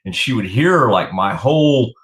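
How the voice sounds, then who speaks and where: A man's voice: fairly low pitch, expressive, normal speed, a bit bright, poor audio. A middle-aged man with a Texan accent hosting a sports talk show, rambling casually with lots of fillers. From Texas. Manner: rambling, many fillers and restarts. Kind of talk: casual radio sports chat.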